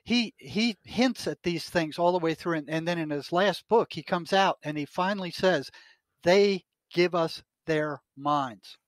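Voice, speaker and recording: Older man, raised pitch, emphatic conversational explainer, close dry recording. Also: measured pace, no room sound.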